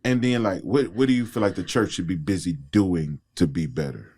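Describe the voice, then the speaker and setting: Laid-back lower-voiced male host. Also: normal speed, slightly rough. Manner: relaxed, rambling questions with fillers. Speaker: show host. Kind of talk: casual interview.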